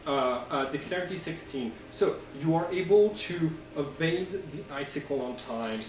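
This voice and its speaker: A man working as game master, improvising tabletop narration in a halting way as he searches for words. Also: fairly high pitch, lively intonation, a little mellow. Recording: roomy, distant mic, music behind. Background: music.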